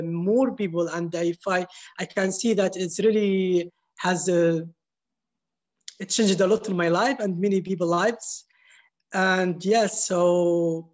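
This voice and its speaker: High-voiced man speaking halting panel English. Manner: searching, halting non-native phrasing. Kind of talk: panel talk.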